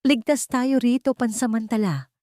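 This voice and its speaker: A woman's voice: on the high side, normal speed, mellow, no echo, clean studio sound, pitched above the performer's own voice, close to an everyday voice. A woman voicing a tired, grave elderly former nurse in an audio romance drama, confiding in a weary voice. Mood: weary, reassuring. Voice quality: weary, trembling.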